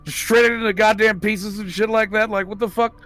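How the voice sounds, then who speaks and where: A man's voice: high-pitched, fairly even intonation, a little fast, bright, forceful tone, soft background music. A man casually bantering as a tabletop game player, with a profane, opinionated, rambling manner.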